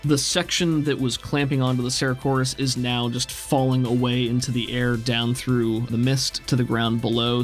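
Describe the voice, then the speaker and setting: Male game master, medium pitch, vivid descriptive narration, casual asides, tabletop game, background music.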